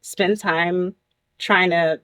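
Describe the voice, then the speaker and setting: A woman's voice: on the low side, steady tone, very slow, a bit bright. A woman hosting a talk show, speaking reflectively about her own choices in a casual chat.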